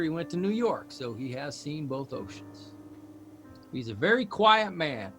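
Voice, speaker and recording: Ernie Pyle, wry plain-spoken columnist. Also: male voice, medium pitch, a bit bright. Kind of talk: understated narration in an audio drama.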